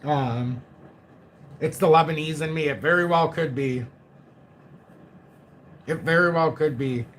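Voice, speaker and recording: A man in his forties chatting through market charts on a live stream, fairly high for a man. He loops back on his phrases and answers his chat as he goes. A faint hum of noise sits under his voice. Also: unhurried, pauses often, fairly bright tone, rough recording. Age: 40s. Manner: talks to chat, reads viewer comments, repeats himself. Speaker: crypto livestream host. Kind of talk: casual chart analysis livestream.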